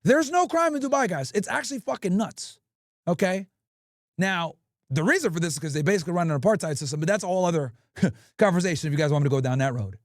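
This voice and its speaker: A man's voice: high voice, fast talker, no echo, studio quality. A male livestream host giving brash, profane commentary and telling stories with lots of swearing. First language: English. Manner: brash, profane, animated storytelling.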